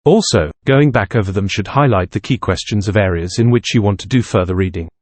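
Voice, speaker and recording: A man reading practice passages aloud from a script in a measured, careful, neutral way. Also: lowish voice, a little mellow, no echo. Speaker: narrator of English test practice texts.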